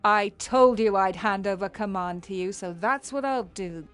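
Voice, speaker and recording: An actress playing a proud, headstrong warrior commander in a fantasy video game, assertive and declamatory. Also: female voice, mid-range voice, moderate pace, clear, resonant tone, soft background music, lightly acted. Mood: curt. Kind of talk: dramatic video game acting.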